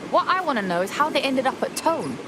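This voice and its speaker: An actress playing a streetwise young London hacker activist in a game, with a slangy, blunt, casual delivery. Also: female voice, sits high, very animated, fairly quick. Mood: curious, urgent. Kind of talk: game voice acting. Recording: clear background vehicle noise.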